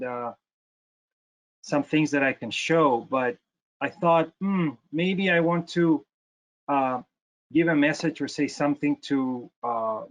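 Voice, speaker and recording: Halting man, fairly high voice. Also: fairly bright tone, rough recording. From Chile. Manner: hesitant, frequent fillers and repeated words. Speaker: guest lecturer, plastic surgeon. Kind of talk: lecture over a video call. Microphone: video call.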